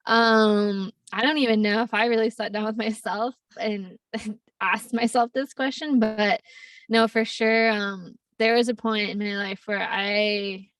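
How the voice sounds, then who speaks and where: A woman's voice: medium pitch, fairly even intonation, unhurried, fairly bright tone, dry recording, distant mic. A young woman raised in Costa Rica talks through her story as a podcast guest, with frequent laughter and loose, filler-heavy phrasing. Her voice sits a little back from the mic in a dry space. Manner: laughs often, rambling with fillers. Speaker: podcast guest, plant medicine facilitator. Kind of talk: casual interview storytelling.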